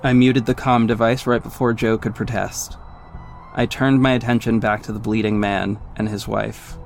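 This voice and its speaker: A man's voice: on the low side, flat delivery, moderate pace, creaky. Man acting a young vigilante superhero over music. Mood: earnest narrating. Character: young male vigilante superhero, cocky, caring, teasing. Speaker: lead actor and narrator of an audio drama. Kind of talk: scripted first-person narration and acted dialogue. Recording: music behind the voice.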